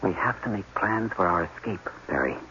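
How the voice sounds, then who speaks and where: An actor playing an embittered, fatalistic scientist held captive, speaking emphatically in a 1980s radio drama. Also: male voice, sits low, lively intonation, moderate pace, sharp tone. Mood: grim, hushed. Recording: dull, noisy old radio broadcast.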